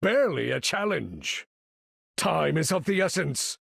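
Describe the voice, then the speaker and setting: An older, imperious supervillain for a video game. His voice is gravelly and husky, swinging dramatically as he issues grand, formal battle commands with pompous weight. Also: a little high, sing-song, a little slow, fairly bright tone, no echo, clean studio sound, full character voice. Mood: disdainful. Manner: pompous, theatrical, formal diction.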